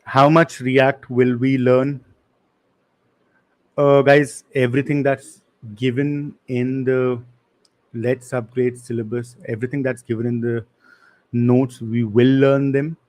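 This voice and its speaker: Young male instructor, casual live-class delivery, flat intonation, mid pitch. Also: slow. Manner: casual, apologetic, talks to chat with slang. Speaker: online coding instructor.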